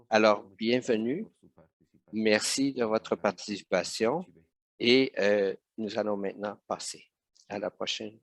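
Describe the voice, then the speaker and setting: Slow, hesitant male interpreter. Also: on the low side, dry recording. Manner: hesitant, many fillers and restarts. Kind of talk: simultaneous interpretation of a webinar.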